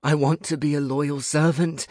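Male narrator as unhinged audiobook protagonist, pitched well up, overwrought, pleading, grovelling, dry sound. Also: slow pace, dry recording. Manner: overwrought, pleading, mock-archaic outbursts. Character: panicked, unhinged, grovelling narrator-hero losing their mind.

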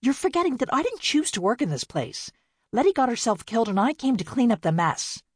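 A woman's voice: medium pitch, sing-song, fairly quick, no echo, studio quality, pitched above the performer's own voice. A woman voicing a hot-tempered young woman who argues defiantly.

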